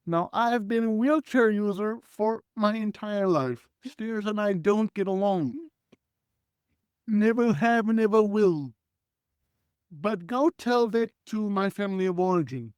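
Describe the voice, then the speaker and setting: A man's voice: high voice, darkish tone, no room sound. Man, forties, from Israel, Hebrew speaker, earnest guest storytelling, dry close sound. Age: 40s. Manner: earnest, reflective, clears throat often. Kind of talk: conversational life storytelling.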